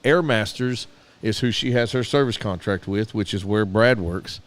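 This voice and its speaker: A man's voice: lowish voice, normal speed. A middle-aged man with a Southern American accent, co-hosting a casual radio podcast and telling stories earnestly. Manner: earnest, storytelling, with repeated restarts. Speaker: podcast co-host.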